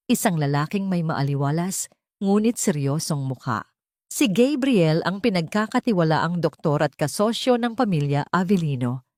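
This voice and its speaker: A woman's voice: on the low side, a little mellow, no echo, clean studio sound. A woman reading a scripted story aloud in a dramatic storytelling style. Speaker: storyteller narrator.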